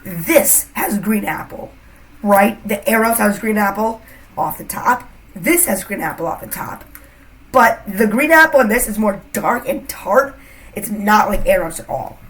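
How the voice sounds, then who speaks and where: A woman's voice: mid-range voice, expressive, unhurried, fairly bright tone. A young woman with a cold, chatting casually to camera as a fragrance reviewer, rambling with lots of fillers. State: has a cold, a bit congested.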